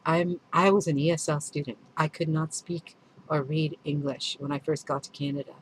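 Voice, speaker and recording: A woman's voice: low voice, steady tone, moderate pace, slight background noise, rough recording. A woman whose first language is Urdu, a children's author speaking candidly and earnestly in a reflective vlog, often restarting and correcting herself. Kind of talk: reflective vlog answering questions.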